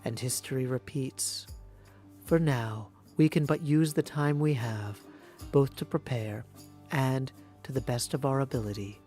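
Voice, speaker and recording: A man's voice: medium pitch, measured pace, dark tone, soft background music, close to an everyday voice. A man voicing a solemn storyteller, narrating a mythic game epic in a grave, portentous manner.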